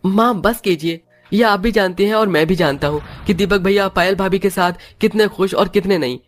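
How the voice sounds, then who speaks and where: A man's voice: sits high, moderate pace, lightly acted. A man voicing an earnest young bridegroom in a moral story cartoon, speaking firmly. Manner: earnest, firm. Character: earnest young bridegroom son standing up to mother.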